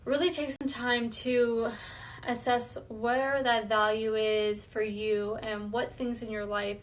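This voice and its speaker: Earnest woman thinking aloud, higher voice. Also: level tone, slow. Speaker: wellness vlogger. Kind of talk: reflective self-awareness talk.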